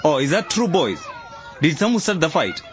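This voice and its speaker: Stern football coach, noisy background. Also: male voice, on the high side, very animated, moderate pace, dull audio. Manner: forceful, lecturing. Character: stern, authoritative male football coach scolding his team. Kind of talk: radio drama acting. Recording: noisy outdoor crowd ambience.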